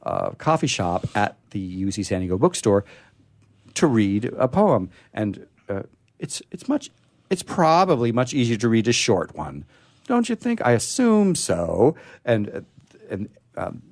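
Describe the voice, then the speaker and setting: A man hosting a radio show in casual chat, rambling and halting as he goes. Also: lowish voice, big pitch swings, a little mellow.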